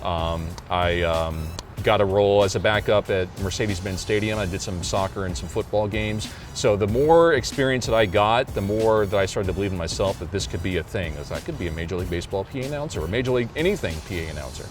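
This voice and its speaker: Male, thirties, American. Deep booming announcer voice, talking casually in an interview, music behind. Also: animated, fast, hardly any pauses. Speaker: sports public address announcer.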